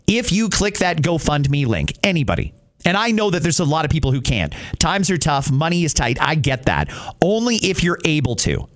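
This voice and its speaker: Middle-aged man, slightly high pitch, podcast host, casual monologue, direct conversational phrasing. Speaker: podcast host and radio personality.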